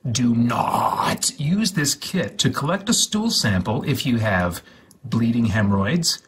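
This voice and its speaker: A man with a very husky voice reads instructional text aloud. His pace is slow and deliberate, and his pitch swings widely while the delivery stays deadpan, with oddly stretched words. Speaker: host of a gaming livestream channel.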